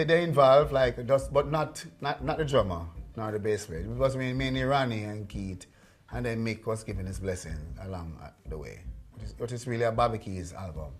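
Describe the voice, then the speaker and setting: A man tells studio stories casually, rambling with frequent fillers and restarts. His voice sits at an ordinary middle pitch. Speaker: musician. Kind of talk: casual storytelling.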